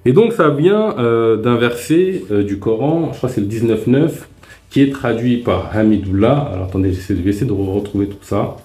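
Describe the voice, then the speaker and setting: A man's voice: medium pitch, lively intonation, a little fast, warm tone, reverberant. A man hosting a live stream, earnestly explaining his views in a casual spoken monologue.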